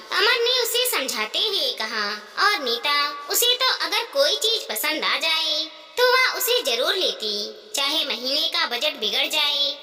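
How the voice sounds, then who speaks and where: A woman's voice: sits high, sharp tone. A woman reading a scripted moral story as its narrator. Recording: long hall-like reverb.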